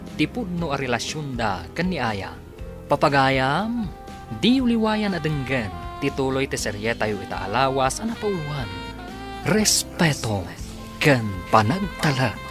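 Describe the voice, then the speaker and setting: A man with an Ilocano Filipino accent narrating and announcing a radio drama in a dramatic scripted style. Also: mid-range voice. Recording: music behind the voice. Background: music.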